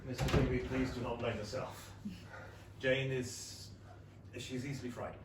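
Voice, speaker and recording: A man's voice: medium pitch, fairly even intonation, normal speed, natural voice. A man acting a kindly, reassuring period character in a staged play reading, formal and hesitant. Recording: distant, echoing theatre, loud noise.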